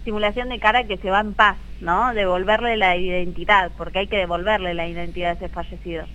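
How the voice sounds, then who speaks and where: A woman in her thirties with an Argentine accent, a guest on a radio interview, talking earnestly about her work with many quick agreeing "sí, sí"s. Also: mid-range voice, fairly even intonation, bright, forceful tone, slight background noise, rough recording. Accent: Argentine Spanish. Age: 30s. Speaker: guest, embalmer.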